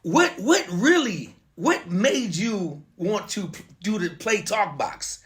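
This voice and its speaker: A man's voice: high voice, animated, slow, fairly bright tone. A man hosting a casual interview, effusive and admiring, often repeating words and peppering his talk with "man". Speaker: host interviewing a musician.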